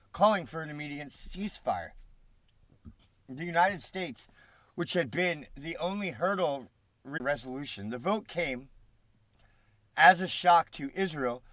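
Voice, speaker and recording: A congested man talks on a live stream in a slightly high voice, reading news reports aloud and cutting in with sarcastic, profane asides. The sound is close and dry, with no room around it. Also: bright, forceful tone, no echo. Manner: swears freely, mocking asides. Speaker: political commentary streamer.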